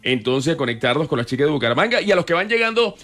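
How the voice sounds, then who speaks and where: A man's voice: on the high side, sing-song, rapid-fire, a bit bright. A Colombian man co-hosting a radio show, joking and playful as he talks. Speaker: radio host. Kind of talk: casual radio chat.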